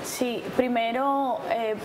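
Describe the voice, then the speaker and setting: Measured woman legislator, Warao. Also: on the high side, steady tone. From Venezuela. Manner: measured, deliberate, pausing between phrases. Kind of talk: TV interview answers. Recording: noticeable background noise.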